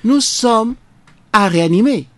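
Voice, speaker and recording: A man's voice: high-pitched, animated, a little slow, fairly bright tone, no room sound. A man, a jurist guest on a radio debate, arguing emphatically with a preacherly cadence. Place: radio studio.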